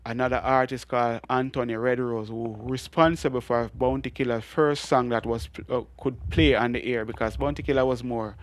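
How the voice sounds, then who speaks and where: Deep-voiced Jamaican man telling stories. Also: a little fast, hardly any pauses. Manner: animated storytelling with frequent laughs and restarts. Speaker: guest reggae artist. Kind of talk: storytelling interview.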